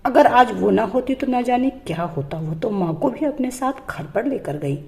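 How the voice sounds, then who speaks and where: A woman's voice: fairly high pitch, animated, moderate pace, gravelly, a little mellow. A woman storyteller voicing an earnest young man, the distressed younger brother in a family tale. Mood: grateful.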